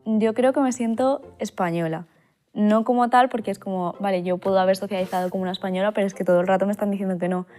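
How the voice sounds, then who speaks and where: A woman's voice: medium pitch, fairly even intonation, fast talker, dark tone, faint music behind. A woman adopted from China speaking earnestly and openly in a documentary interview. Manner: earnest, open about hard feelings.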